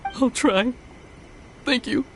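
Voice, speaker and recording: High-voiced, dejected young man. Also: slow pace, fairly bright tone, slight background noise, heavily acted, rough recording. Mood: dejected, resigned. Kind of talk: scripted video game dialogue. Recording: prominent music behind.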